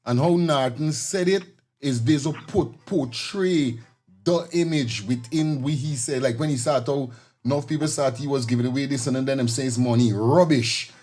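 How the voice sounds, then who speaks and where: A man's voice: mid-range voice, normal speed, darkish tone. A man co-hosting a casual talk show, laid-back and chiming in. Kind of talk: casual chat.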